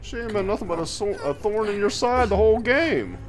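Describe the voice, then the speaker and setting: Male let's play host: casual, blunt, griping, animated intonation, light game score underneath. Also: sits high, fairly quick, faint music behind. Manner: blunt, foul-mouthed, griping. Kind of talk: casual gameplay commentary.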